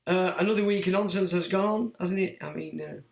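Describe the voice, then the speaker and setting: Hoarse guy rambling on a livestream, sits high for a man even when croaky, roomy echo. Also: brisk. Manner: rambling, sardonic, talks to himself with fillers. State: hoarse, croaky from being sick. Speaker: livestream host. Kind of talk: rambling solo livestream monologue. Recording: echoey room.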